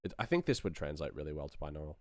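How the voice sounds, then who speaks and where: A man's voice: rumbling low, sing-song, very fast, no echo, studio quality. A man giving a casual sound design tutorial on a livestream, rambling and thinking aloud as he works.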